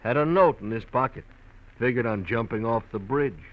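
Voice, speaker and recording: An actor playing an easygoing police desk sergeant in a 1950s radio drama, talking in a casual, offhand way. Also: male voice, medium pitch, moderate pace, lo-fi sound, lightly acted. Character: easygoing middle-aged male police sergeant.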